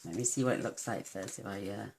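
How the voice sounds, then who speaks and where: A woman with a croaky voice, chatting warmly through a card-making demonstration and gushing over each step. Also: extremely low pitch, fast talker. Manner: gushing, talks to viewers while working.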